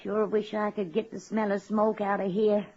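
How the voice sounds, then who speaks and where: An actress playing a folksy, good-hearted woman in a radio comedy-drama, husky and plain-spoken. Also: mid-range voice, level tone, moderate pace, warm tone, natural voice. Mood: rueful, consoling. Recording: muffled old radio recording.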